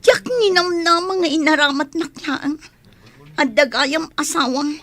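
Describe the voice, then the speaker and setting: Very high-pitched aggrieved mistress. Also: female voice, unhurried, fairly bright tone. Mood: hurt, tearful. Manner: plaintive, reproachful. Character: aggrieved, demanding mistress in radio drama. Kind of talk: radio drama acting.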